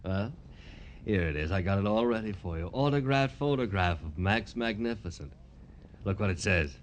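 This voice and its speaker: Man playing showboat wrestler, brash, slangy and self-admiring, very animated pitch, 1950s radio crime drama. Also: moderate pace, a little hiss or hum, natural voice. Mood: boastful, preening. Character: vain, flamboyant, dim professional wrestler with a showy ego.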